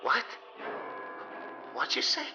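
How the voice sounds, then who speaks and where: A man's voice: slow, over music, close to an everyday voice, poor audio. A man playing an earnest, anxious and wry private detective in a 1930s radio mystery, exclaiming urgently and stammering when evasive. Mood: shocked, urgent.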